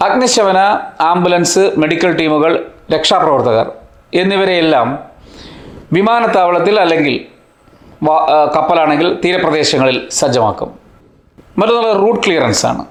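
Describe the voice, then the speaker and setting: A man presenting an educational explainer in deliberate, measured phrases. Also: fairly high pitch, animated. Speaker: presenter of an educational video channel. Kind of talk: explanatory narration. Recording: roomy, echoing voice-over.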